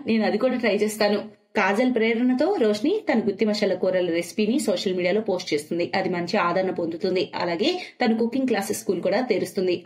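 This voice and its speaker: A woman's voice: mid-range voice, a little mellow. Female storyteller, mid-range voice, scripted narration for moral tales.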